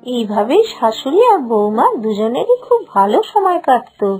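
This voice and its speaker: A woman's voice: on the high side, big pitch swings, normal speed, mellow, slightly rough, soft background music, poor audio. A woman narrator reading a scripted fairy tale.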